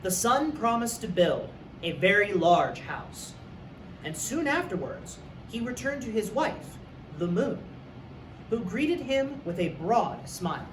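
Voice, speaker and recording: A man's voice: sits high, very animated, takes it slowly. A man who works as a teacher, reading a story aloud for young students. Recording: echoey room, distant mic, faint noise.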